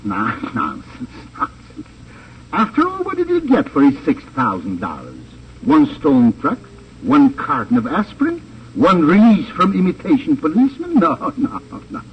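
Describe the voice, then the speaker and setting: An actor playing a smug, suave crime boss in a 1950 radio drama, speaking in formal, condescending, sly phrasing. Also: male voice, on the high side, wildly swooping pitch, moderate pace. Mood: smug, dismissive. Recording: muffled, hissy old radio transcription, distant.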